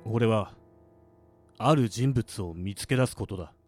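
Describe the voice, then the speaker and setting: A man's voice: lowish voice, measured pace, a little mellow, soft background music, natural voice. A man acting as a terse, suspicious contestant in a deadly game for an audio drama, speaking curtly and seriously. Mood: serious, wary. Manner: curt, demanding speech.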